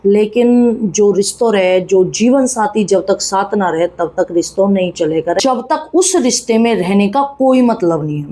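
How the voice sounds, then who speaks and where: A woman's voice: a little high, darkish tone. A woman, giving casual, blunt, chiding gossip commentary to viewers in colloquial speech. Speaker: YouTube commentator.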